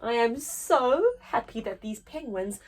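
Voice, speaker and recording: Lady reading a kids book out loud, slow and super expressive, sing-song rhymes. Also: on the high side, soft background music. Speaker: children's storyteller. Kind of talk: expressive read-aloud storybook narration.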